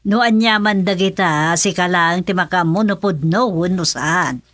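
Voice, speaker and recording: A woman's voice: on the low side, a little slow, fairly bright tone, no room sound. A woman acting a scolding, practical village wife in a radio comedy drama, emphatic and colloquial, with an Ilocano accent. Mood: exasperated.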